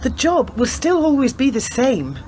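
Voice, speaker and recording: A woman's voice: sits high, very animated, normal speed, darkish tone. A woman playing an earnest, stubborn young policewoman in a radio play, speaking persuasively. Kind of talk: scripted radio play acting. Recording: community radio drama with clear background noise.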